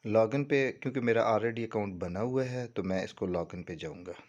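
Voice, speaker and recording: A man's voice: fairly low pitch, moderate pace, a little mellow. A man with a Pakistani accent giving an informal tutorial walkthrough, explaining things step by step and often checking that the listener follows. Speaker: tutorial presenter.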